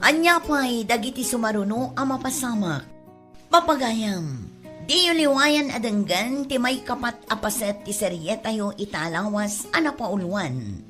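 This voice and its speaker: A woman's voice: on the high side, lively intonation, measured pace, a bit bright, soft background music. A woman with an Ilocano accent delivering scripted announcements as narrator of a drama series.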